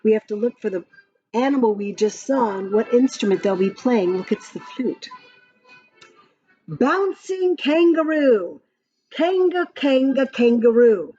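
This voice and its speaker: A woman reading a rhyming picture book aloud to young children in an expressive, sing-song way, with counting and little asides. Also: on the high side, measured pace. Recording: muffled lo-fi audio with background music. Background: music from a picture-book app.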